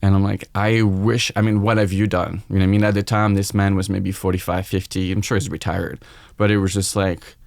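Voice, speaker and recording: A man's voice: deep voice, flat delivery, a little fast, vocal fry, hardly any pauses, no echo, clean studio sound. A man in his forties with a French accent, a chef guest speaking openly and reflectively on a podcast. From France. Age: 40s. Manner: reflective, open, quoting his own thoughts aloud. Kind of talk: candid podcast conversation.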